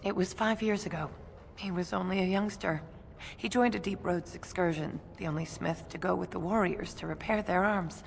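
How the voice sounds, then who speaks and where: A woman acting as a sorrowful, devout dwarf mother in a video game, speaking in a soft, wistful way. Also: lowish voice, moderate pace, vocal fry, a little hiss or hum.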